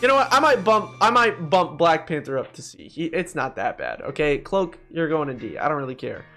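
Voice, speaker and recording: A man's voice: a little high, brisk, soft background music. A young man giving casual, opinionated, joking commentary as he ranks things in a gaming video. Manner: animated, joking, mildly profane.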